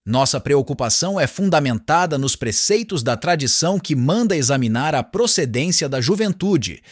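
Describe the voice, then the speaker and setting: A man playing a stern, coolly superior apostle in a religious audiobook, speaking firmly and formally in measured phrases. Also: a little high, expressive, no echo, studio quality. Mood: formal suspicion. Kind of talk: dramatized religious audiobook dialogue.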